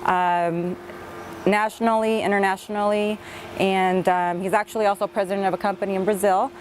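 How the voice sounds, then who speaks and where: A woman's voice: mid-range voice, steady tone, a little fast, slight background noise. A young woman who speaks Spanish and English, talking earnestly and upbeat in an audition interview, with many fillers. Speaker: reality show contestant.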